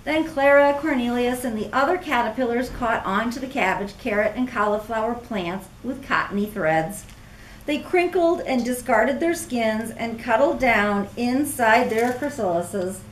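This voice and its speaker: High-pitched older woman reading to children. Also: animated, a little slow, far from the mic. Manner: speaking through a face mask. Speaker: storybook reader. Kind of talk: expressive children's read-aloud with chatty asides. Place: small classroom-like room, indoors. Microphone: camera a few metres away. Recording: echoey room, faint background noise.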